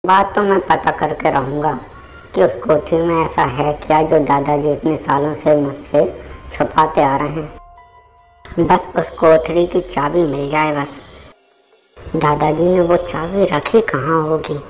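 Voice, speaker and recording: Woman voicing a curious low-pitched cartoon boy. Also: fairly even intonation, unhurried, a little mellow, faint music behind. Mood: determined, curious. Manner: talks to himself, exclaiming. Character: curious, stubborn young boy on a summer adventure. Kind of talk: cartoon story voice acting.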